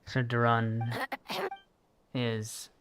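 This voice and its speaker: A man's voice: mid-range voice, level tone, drawn-out words, fairly bright tone, soft background music. A man livestreaming a video game with casual, rambling commentary, repeating himself in a mildly exasperated way. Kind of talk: casual live gaming commentary.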